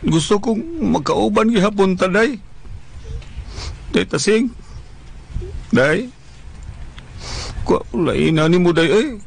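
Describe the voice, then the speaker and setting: A man's voice: high-pitched, animated, slow pace, vocal fry, pauses often, slightly rough, full character voice, poor audio. A man playing a mourning old widower in a radio drama, lamenting plaintively to his dead wife with a Visayan Filipino accent. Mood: mournful, pleading. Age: older.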